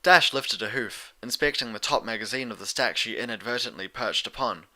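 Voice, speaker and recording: Bright, forceful male narrator. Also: medium pitch, brisk. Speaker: audiobook narrator. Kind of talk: scripted story narration.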